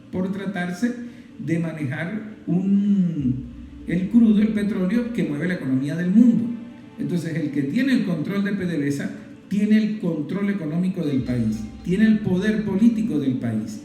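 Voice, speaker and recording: A man's voice: a little high, warm tone. A man with a Venezuelan accent giving emphatic, didactic political commentary as a program host, stressing points through repetition. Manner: emphatic, didactic, repeats phrases in list-like runs. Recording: very echoey room, faint music. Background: faint music.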